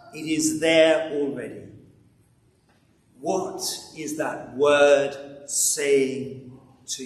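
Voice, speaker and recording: Slow, solemn elderly preacher in echoey church. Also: male voice, on the high side. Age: 70s. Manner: solemn, measured rhetorical delivery with pauses and repetition. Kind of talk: sermon with scripture reading. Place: large church interior, standing at a lectern. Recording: echoey hall, distant mic, background noise.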